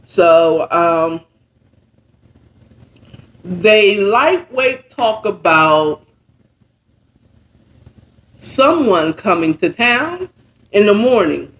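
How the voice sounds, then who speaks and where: A high-voiced American man tells a story informally, as a host recapping it with commentary. He takes his time, uses plenty of slang, and checks in with rhetorical questions as he goes. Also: very animated, drawn-out words, long pauses, a bit bright, low-quality recording. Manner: acts out characters' dialogue. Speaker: host retelling and reacting to a story.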